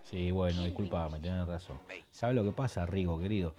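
An actor with an Argentine Rioplatense accent playing an irreverent slacker who bluffs as a film expert, full of chummy, rambling slang in a comedic radio show. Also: male voice, sits low, fairly quick, a crowd in the background, close to an everyday voice. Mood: evasive, rambling. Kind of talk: comedic radio theatre banter.

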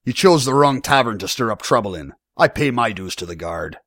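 A man performs a villainous fantasy tavern keeper, blustering and self-important. The voice is pushed up in pitch with big swings and a gravelly edge. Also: lively intonation, fairly quick, a bit bright, dry recording, clean studio sound. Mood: threatening. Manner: snorting, belligerent, self-important. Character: defiant, blustering villainous tavern owner, male slaver. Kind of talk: fantasy audiobook character acting.

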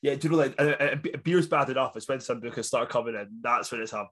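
A man's voice: medium pitch, quick pace, fairly bright tone. A young adult man with a Scottish accent, co-hosting a casual football podcast, rambling with fillers and laughter. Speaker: podcast co-host. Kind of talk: casual chat.

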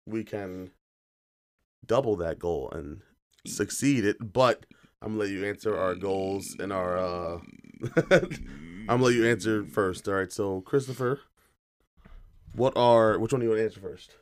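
A man hosts a casual chat podcast with a tired, slightly raspy voice. He sits low in his range and talks in a loose, joking manner full of slang. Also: a little slow, long pauses, a little hiss or hum. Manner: laid-back, joking slang. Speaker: podcast co-host.

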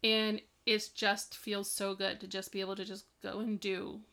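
A woman's voice: mid-range voice, flat delivery, moderate pace, pushed and bright. A woman in a mid-range voice co-hosting a podcast from home. She offers advice on parenting stress, rambling casually with plenty of fillers. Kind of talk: casual chat, giving tips.

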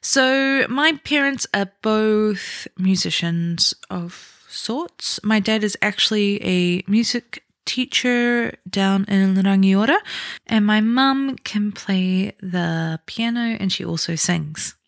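A woman's voice: medium pitch, no echo, studio quality. Chatty New Zealand woman hosting a podcast. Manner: chatty, self-deprecating, unhurried storytelling. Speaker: podcast host. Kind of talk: casual solo podcast talk.

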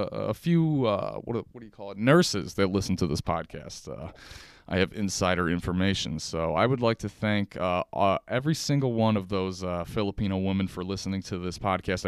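A man's voice: low-pitched, clear, resonant tone, dry recording, clean studio sound. An American man hosting a casual solo podcast, chatting in a rambling, informal, slangy way. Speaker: podcast host. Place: at a kitchen table at home.

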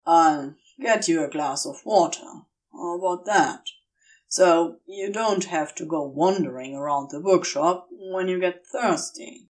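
Lady doing a gruff demon guy, grudgingly caring, slow and lower pitched, audiobook style. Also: far from the mic, lightly acted, rough recording. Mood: begrudging, caring. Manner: gruff, brusque, deflecting. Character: grumbling, begrudging male demon, secretly tender.

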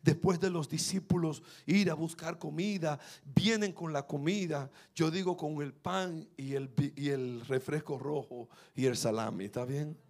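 A man's voice: on the high side, a little slow, hardly any pauses, a little mellow. A man preaching a sermon, fervent and repetitive, building to emphatic peaks. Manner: fervent, rising to emphatic peaks, repeats phrases.

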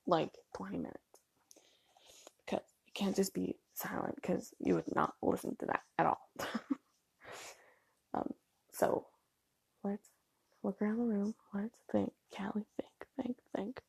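A young woman from Canada rambles on at home, apologizing and filling pauses with "um" and "like." Her voice is heavily gravelly and mellow, a little husky, and her nose is stuffy. The sound is dry and close. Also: mid-range voice, no room sound. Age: young adult. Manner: chatty, self-deprecating, filler-heavy with ums and likes. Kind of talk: rambling casual monologue.

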